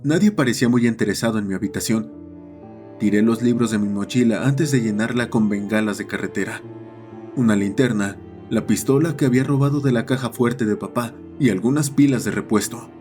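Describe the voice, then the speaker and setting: A man's voice: fairly low pitch, fairly quick, darkish tone, soft background music. A man reading a scripted horror story as its narrator.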